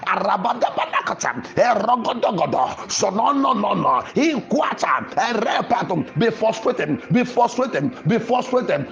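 A man preaching fervently in a Pentecostal prayer style, with forceful, repetitive declarations. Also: high voice, creaky. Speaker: preacher leading a prayer podcast.

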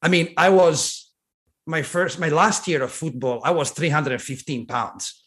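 A man in his thirties from Italy with an Italian accent, telling his story as a guest in a relaxed, rambling way full of "like" and restarts. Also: fairly high pitch, a little slow. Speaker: guest, strength coach. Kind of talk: casual conversational storytelling.

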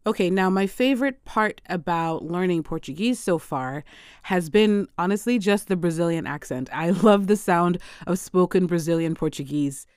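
A woman hosting her own podcast, talking close to the mic in a dry, deadened room. Her voice is smooth and clear and sits a little low. She chats easily, laughs often and drops Portuguese phrases into her talk. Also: normal speed, a little mellow, no echo. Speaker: podcast host. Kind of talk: solo language-learning podcast.

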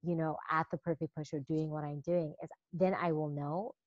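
Talkative woman from Zimbabwe, low voice. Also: moderate pace, no echo, muffled recording. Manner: talkative, animated with quoted asides. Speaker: guest, care provider and founder. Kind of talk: casual conversational interview. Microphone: remote call.

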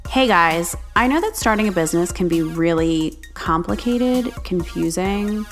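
A woman hosting a podcast, speaking directly to her listeners in a slightly raspy voice. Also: lowish voice, animated, unhurried, soft background music. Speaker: podcast host and business coach. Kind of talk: podcast monologue, promotional then casual chat.

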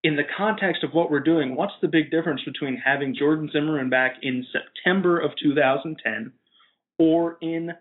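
A man hosting a sports podcast in a casual, somewhat halting chatty style. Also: fairly high pitch, phone-quality sound.

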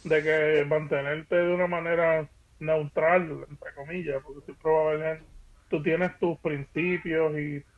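A man's voice: a little high, level tone, measured pace, dry recording, narrow, tinny audio. A man hosting a podcast, chatting casually with a guest. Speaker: podcast host. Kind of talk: casual conversational interview.

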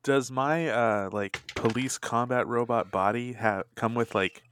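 A man's voice: medium pitch, a little slow, a bit bright, a little hiss or hum. A man playing in a tabletop game, making joking, rambling asides. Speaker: player. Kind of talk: casual tabletop play.

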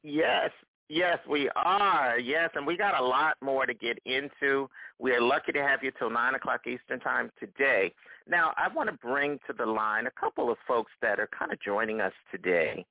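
A man's voice: mid-range voice, lively intonation, moderate pace, creaky, no echo. Chatty radio host man, tinny sound. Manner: chatty, repeats words as he goes. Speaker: radio morning show host. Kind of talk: live radio show hosting. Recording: thin phone-like dry sound.

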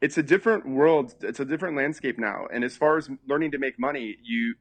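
Fast-talking middle-aged man, dry sound. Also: medium pitch, no echo. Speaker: interior designer. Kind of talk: casual podcast conversation.

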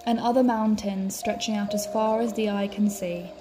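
A woman leading a guided meditation as a podcast host, speaking in a calm, soothing, measured way. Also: medium pitch, noisy background. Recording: music behind the voice. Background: background music.

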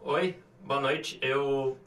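A man's voice: medium pitch, steady tone, drawn-out words, fairly bright tone, slight background noise. A Brazilian man acting a desperate, ashamed broke gambler in a student radio drama, anguished and hesitant. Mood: timid pleading. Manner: anguished, hesitant muttering to himself. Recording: echoey reverberant sound with music behind.

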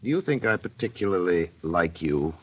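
Bitter boozy english gent, old radio drama, slurry drawl, voice all over the place, muffled broadcast. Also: male voice, low voice, animated, normal speed, husky. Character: dissolute, bitter, hard-drinking English gentleman, a self-loathing drudge. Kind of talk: 1930s radio drama acting.

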